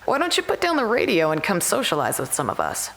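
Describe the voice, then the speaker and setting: An actress playing a sociable, teasing, easygoing colleague with a playful, dry manner in a naturalistic audio drama. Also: female voice, medium pitch, wildly swooping pitch, fast, creaky, fairly bright tone, exaggerated voice. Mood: playful, reassuring.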